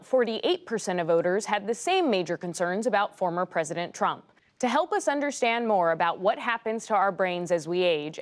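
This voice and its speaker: A woman news correspondent presenting a report in a crisp, expressive delivery. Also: mid-range voice.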